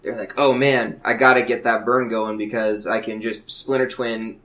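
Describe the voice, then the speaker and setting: A man's voice: lowish voice, flat delivery, normal speed, a bit bright. A man chatting informally on a hobby podcast, explaining things in an everyday conversational way. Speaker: podcast panelist. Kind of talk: casual hobby podcast chat.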